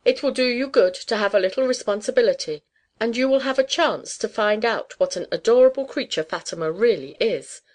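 A woman voicing a domineering, brisk older society aunt in a storybook, with an imperious, emphatic manner. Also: fairly high pitch, animated, darkish tone, pitched above the performer's own voice, lightly acted. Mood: bossy. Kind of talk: audiobook character dialogue.

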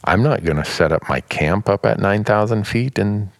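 A man's voice: on the low side, moderate pace, no room sound, studio quality. A man in his fifties chatting casually and telling stories, chuckling often. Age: 50s. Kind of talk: casual chat.

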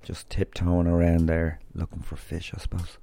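A man's voice: low-pitched, completely flat delivery, moderate pace, creaky, mellow, dry recording. An Irish man hosting a podcast, chatting loosely and rambling through stories before interviewing a guest. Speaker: podcast host. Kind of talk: casual rambling monologue and interview.

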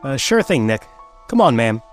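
An actor playing an eager, deferential young detective's assistant in an old-time radio drama, earnest and a bit hesitant. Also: male voice, medium pitch, very animated, normal speed, soft background music, natural voice.

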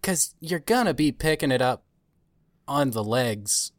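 A woman's voice: extremely low pitch, lively intonation, a little slow, no echo, clean studio sound. A woman chatting casually and playfully on a podcast, rambling as she goes. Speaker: podcast cast member. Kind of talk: casual chat.

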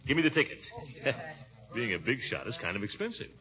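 An actor playing a pompous, easily flustered middle-aged small-town official in an old-time radio comedy, blustering and chuckling. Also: male voice, medium pitch, big pitch swings, brisk, lightly acted. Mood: self-important. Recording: dull vintage radio broadcast recording.

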